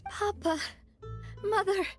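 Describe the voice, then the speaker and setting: A woman's voice: very high voice, fairly even intonation, slow, faint music behind. A woman voicing an earnest, heartfelt young female boss in a video game. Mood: moved, grateful.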